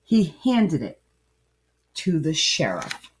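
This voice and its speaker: Woman storyteller, slow pace, lively swooping pitch, emphatic phrasing, dramatic pauses, children's book narration. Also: poor audio. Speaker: storybook reader. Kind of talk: expressive children's story narration, with asides to listeners.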